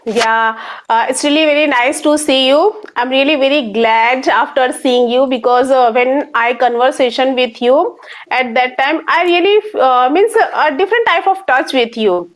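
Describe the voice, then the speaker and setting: Woman, Indian English accent, high pitch, halting, filler-heavy, casual interviewer. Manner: halting, many fillers, non-native phrasing. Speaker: interviewer and channel host. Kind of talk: casual video-call interview.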